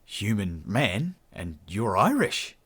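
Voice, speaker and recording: A man's voice: low-pitched, a little fast, dry recording. A man voicing a blunt, awkward Australian space adventurer in an audiobook, speaking informally.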